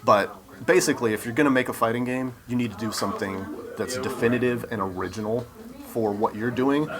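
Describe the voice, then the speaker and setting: A man's voice: medium pitch, brisk. A man giving earnest, explanatory answers as a guest in a casual interview. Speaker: interview guest, video game developer. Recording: crowd chatter around the voice. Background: crowd chatter.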